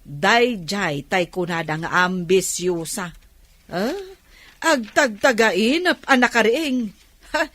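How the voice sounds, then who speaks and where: An actress playing a nosy, mocking Ilocano auntie who wheedles in a radio comedy drama. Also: female voice, medium pitch, expressive, slow pace, a bit bright, dry recording. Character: nosy, scornful auntie who mooches money from relatives.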